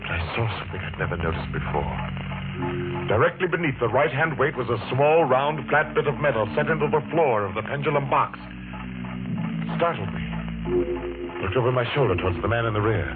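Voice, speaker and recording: Man as haunted storyteller, lowish pitch, suspenseful, vintage radio drama, tinny recording over loud music. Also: natural voice. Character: haunted man narrating his frightened, lonely youth. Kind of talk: 1940s radio thriller narration.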